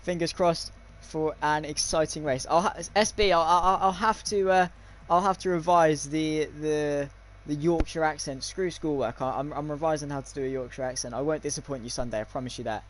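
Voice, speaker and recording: A man's voice: on the high side, steady tone, moderate pace, hardly any pauses. A young man doing live sim racing commentary with informal chat, over some background noise. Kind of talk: live esports race commentary with casual chat.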